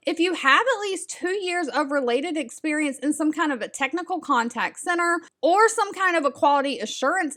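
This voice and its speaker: A woman with a distinctly high voice presents information as an online host. She addresses her viewers directly in an upbeat, encouraging manner, sounding like she follows prepared notes. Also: a bit bright. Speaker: video host sharing remote job leads. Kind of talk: scripted-sounding informational vlog narration.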